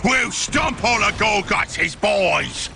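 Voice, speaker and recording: A rowdy, dim ork grunt from a video game. He yells in a high, raspy Cockney growl with lively swoops, over music. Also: takes it slowly, exaggerated voice. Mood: gloating. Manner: yelling crudely. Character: rowdy ork underling, dim, excitable and mutinous. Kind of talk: video game character acting.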